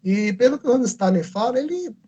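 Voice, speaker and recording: Brazilian male artist, higher-pitched, warm and breathy, rambling livestream storyteller. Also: expressive, fairly quick, dull audio, slight background noise. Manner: rambling, self-correcting storyteller. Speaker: comic book artist. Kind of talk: casual livestream talk. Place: convention livestream.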